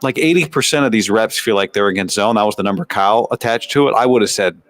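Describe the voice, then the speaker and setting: A man's voice: fairly low pitch, quick pace, fairly bright tone, no room sound. A man with an American accent giving conversational, analytical sports commentary as a show host, often posing rhetorical questions and asides. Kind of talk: sports commentary, practice recap.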